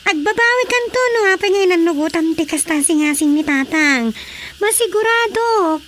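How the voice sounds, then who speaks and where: Very high-pitched, teasing Ilocano young woman. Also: lively intonation, moderate pace, a bit bright, a little hiss or hum. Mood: mocking, playful. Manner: playful, drawling, giggly. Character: teasing, giggly young village woman being courted. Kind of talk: Filipino radio drama comedy acting.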